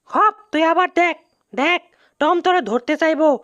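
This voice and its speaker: Cartoon mouse uncle, high-pitched, dry close sound, Bangladeshi colloquial accent, boastful, excitable, prone to panic. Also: unhurried, no echo, muffled recording. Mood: bossy. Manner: excitable, repeats words when panicking. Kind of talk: comic cartoon dub.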